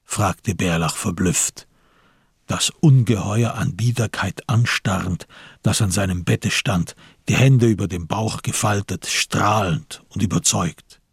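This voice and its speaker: A man giving a deliberate, solemn scripted literary narration for a radio drama. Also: on the low side, vocal fry, husky, no echo, clean studio sound.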